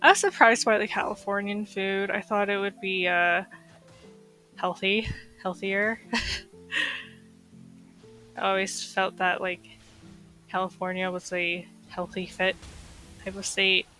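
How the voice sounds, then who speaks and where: A woman's voice: mid-range voice, little pitch movement, unhurried, long pauses, pushed and bright, soft background music, rough recording. A woman with a slightly nasal, stuffy voice from being sick, chatting casually over her gameplay with lots of fillers. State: recovering from illness, slightly stuffy. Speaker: gaming streamer.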